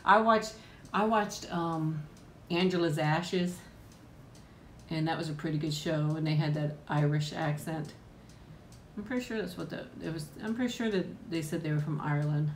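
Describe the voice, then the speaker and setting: A woman in middle age hosting a casual live chat with viewers at home, rambling and laughing often, with frequent ums. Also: moderate pace. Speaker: host of a live chat and eating stream. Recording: echoey room with faint rumble.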